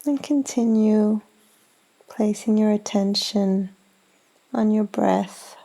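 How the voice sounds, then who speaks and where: A woman leading a guided meditation in a gentle, soothing, unhurried manner with long pauses. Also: medium pitch, gravelly. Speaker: yoga nidra meditation guide.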